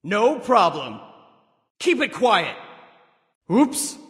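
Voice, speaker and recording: A proud warrior sage god from a video game, with a high, bright and forceful male voice. He delivers short, commanding callouts at an unhurried pace, crisply and theatrically. A long reverb trails behind his words. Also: sing-song, heavily acted.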